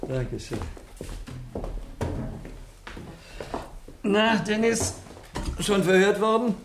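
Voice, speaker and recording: Genial, higher-voiced village doctor. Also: male voice, animated, a little slow, background noise. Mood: teasing. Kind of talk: radio drama acting.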